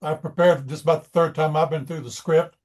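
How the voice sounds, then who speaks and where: A man's voice: fairly high pitch, fairly even intonation, brisk, a little mellow. An American man from near Washington DC giving casual, rambling feedback in conversation. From United States, near Washington DC.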